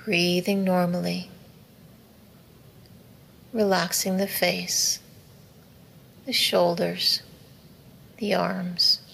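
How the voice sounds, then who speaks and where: Slow, soothing woman guiding meditation. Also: on the low side, flat delivery, creaky, fairly bright tone, husky. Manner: soothing, measured, instructive. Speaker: yoga nidra meditation teacher. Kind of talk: guided meditation. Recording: faint background noise.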